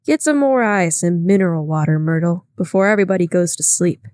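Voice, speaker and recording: A woman reading aloud, voicing a brusque, domineering wealthy man with a slightly lowered voice. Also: animated, brisk, darkish tone, no room sound, clean studio sound, lightly acted. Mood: bossy. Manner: curt, lordly.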